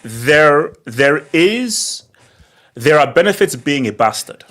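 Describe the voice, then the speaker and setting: A man's voice: on the high side, big pitch swings, slow pace, a bit bright. A man hosting a casual football discussion, bantering and often repeating words, with some stuttering. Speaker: host of a football discussion show. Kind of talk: casual animated group banter.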